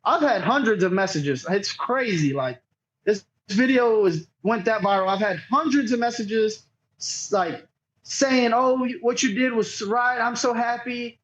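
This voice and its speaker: A man's voice: extremely high pitch, a little slow, fairly bright tone, dull audio, a little hiss or hum. A man being interviewed as a podcast guest, telling a story casually in a rambling, profane way and often quoting others. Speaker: podcast guest, cornhole player.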